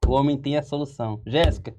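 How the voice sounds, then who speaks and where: A man's voice: medium pitch, fast talker, warm tone, a little hiss or hum. A Brazilian man hosting a casual podcast, talking in a playful mock-hype, exaggerated comic way. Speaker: podcast host.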